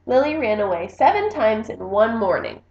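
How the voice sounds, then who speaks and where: Woman, expressive storybook read-aloud, high voice, very lively intonation, echoey room, slightly muffled. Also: normal speed. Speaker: book reader.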